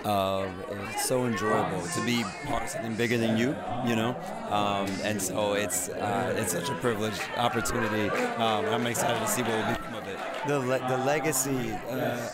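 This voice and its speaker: A man giving a casual interview as a cast member. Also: lowish voice. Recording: loud music and crowd behind.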